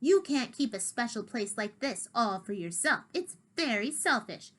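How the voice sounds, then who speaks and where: A woman voicing a smug, plump male cat who taunts lazily with quiet menace. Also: a little high, big pitch swings, normal speed. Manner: sneering, lazy taunting.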